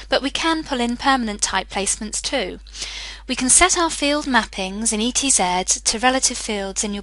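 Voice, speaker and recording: A woman presenting a software demo as a scripted screencast, explaining clearly step by step. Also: medium pitch, no echo, rough recording. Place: voice-over for screen recording.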